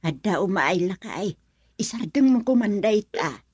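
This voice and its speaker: Slow-speaking worried village mother. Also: mid-range voice, lively intonation, a little mellow, breathy edge. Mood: uneasy, soothing. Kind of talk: Ilocano radio drama acting.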